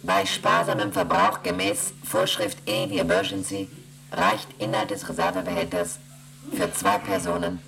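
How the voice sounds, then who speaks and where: A man voicing an emotionless onboard computer in a radio play, reading out readings and rules in a flat, mechanical way. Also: sits low, normal speed, crowd noise, low-quality recording. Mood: flat reporting. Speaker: ship's computer.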